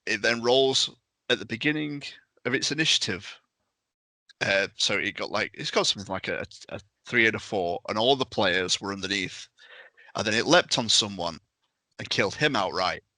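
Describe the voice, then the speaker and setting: Bright, forceful man telling stories. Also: on the low side, animated, normal speed, no room sound. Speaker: podcast panellist and tabletop game master. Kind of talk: casual storytelling.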